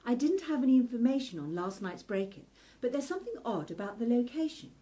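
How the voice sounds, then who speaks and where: A woman's voice: a little high, very animated, fast talker, dark tone. A woman playing a clever, earnest young lady researcher in a steampunk radio play, speaking precisely. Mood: analytical. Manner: precise, articulate, explanatory.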